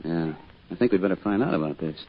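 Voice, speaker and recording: A fond, easygoing American druggist father telling family stories in an old radio drama. His low voice moves expressively through plain, folksy phrases. The old recording is muffled, with light hiss. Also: animated, fast talker. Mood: wry, affectionate. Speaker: narrator and father character.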